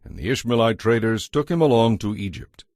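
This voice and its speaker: A man's voice: medium pitch, brisk, a little mellow, no room sound, clean studio sound. A man giving a scripted narration as the narrator of a dramatized audio Bible.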